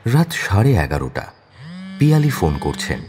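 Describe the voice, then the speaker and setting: A man's voice: low-pitched, sing-song, normal speed, noisy background. A man narrating a scripted crime story in a dramatic storytelling style.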